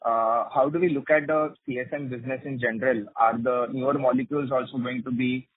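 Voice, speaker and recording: A man's voice: medium pitch, steady tone, fairly quick, slightly rough, dry recording. Over a narrow, dry telephone line, a man speaks as an analyst on an earnings call. He puts his questions politely and at length, with a hesitant delivery. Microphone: phone.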